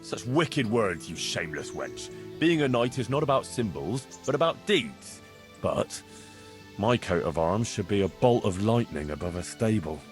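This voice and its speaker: An actor playing a good-natured young medieval blacksmith's son in love, earnest and playfully gallant, in video game dialogue. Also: male voice, fairly low pitch, sing-song, moderate pace, faint music behind. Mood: mock-gallant. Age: young adult.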